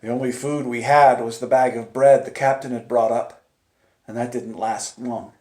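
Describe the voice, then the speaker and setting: A man telling a dramatic first-person story in a theatrical manner, moving between hushed tones and urgent cries. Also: mid-range voice, steady tone, moderate pace. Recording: echoey, roomy sound.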